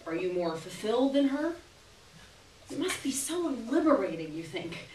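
A young adult woman performing a short story aloud in a live, expressive literary reading with deliberate pauses. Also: fairly high pitch. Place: small gallery room, live stage reading. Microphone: stand microphone, recorded by distant camera. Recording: roomy, distant camera audio with hiss.

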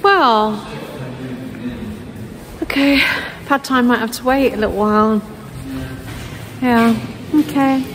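A woman's voice: fairly high pitch, slow pace, long pauses, a little hiss or hum, rough recording. Chatty middle-aged woman vlogging by phone. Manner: chatty, laughs often, self-mocking. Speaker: vlogger renovating a palazzo. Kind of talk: casual vlog chat. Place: city streets at night and a busy bar. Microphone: phone at arm's length. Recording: music and crowd chatter behind.

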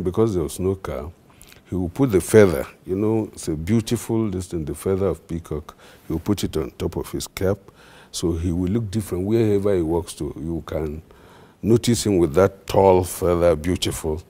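A Nigerian man, a traditional ruler, answering interview questions in a halting way with many fillers. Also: sits low, warm tone.

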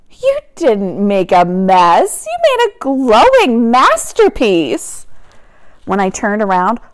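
A woman with a fairly high voice reads a picture book aloud to children. Her pitch swings widely and she takes her time, stretching key words for dramatic effect. It is an animated, expressive teacher's read-aloud.

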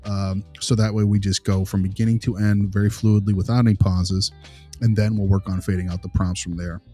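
Guy acting as laid-back male therapy consultant, low mellow voice, kinda muffled, casual and reassuring, faint music behind. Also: little pitch movement, a little fast. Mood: explaining. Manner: informal, hedging, reassuring. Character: easygoing male behavior analysis consultant advising a parent. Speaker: podcast role-player. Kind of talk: casual role-played consultation.

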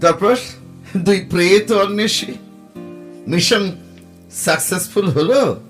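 An actor playing a teasing, sceptical young husband in an audio drama, bantering and sardonic. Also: male voice, sits high, slow, faint music behind.

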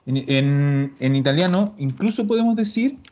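A man teaching Italian in an online class, patient and explaining slowly, repeating words. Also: a little high, animated, darkish tone. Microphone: video call. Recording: dull lo-fi call audio.

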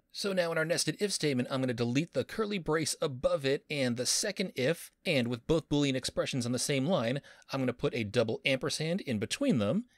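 A man's voice: mid-range voice, no echo, clean studio sound. A man teaching a coding lesson as a professor, playful and enthusiastic, with pop-culture jokes. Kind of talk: screen-recorded coding lesson.

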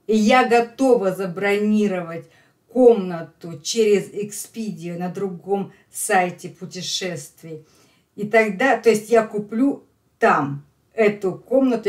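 A middle-aged woman with a Russian accent teaching English, explaining line by line and repeating phrases emphatically for learners. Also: medium pitch. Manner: slow, emphatic repetition for learners. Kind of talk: lesson explaining a phone call line by line.

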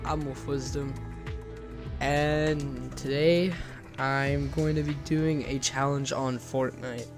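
A man commentating casually as he streams a game, hoarse and losing his voice from being sick. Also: mid-range voice, steady tone, slow. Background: game music.

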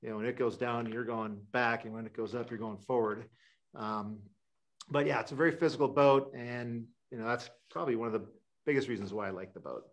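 A man's voice: medium pitch, fairly even intonation, a little fast. Middle-aged man from Wisconsin, rambling. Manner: rambling, many fillers and restarts. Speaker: guest sailor. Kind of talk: casual interview talk. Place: indoor room.

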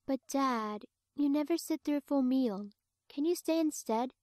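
A woman voicing a morbid young girl in a cartoon, with a straight-faced deadpan manner. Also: high voice, normal speed, natural voice. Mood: flat, morbid.

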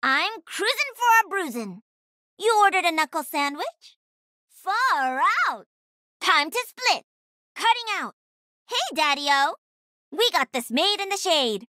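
A woman voicing a cocky, playful young female pro gamer and mech pilot in a video game, with a sassy, confident, energetic delivery. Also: very high voice, slow, long pauses, no echo, clean studio sound, heavily acted. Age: young adult.

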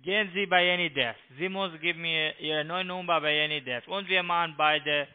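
A man with a foreign accent, not a native speaker, making a halting, repetitive phone pitch over a narrow tinny line. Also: steady tone, clear, resonant tone. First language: not German. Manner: halting broken German, repetitive. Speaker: scam call-centre agent. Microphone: telephone.

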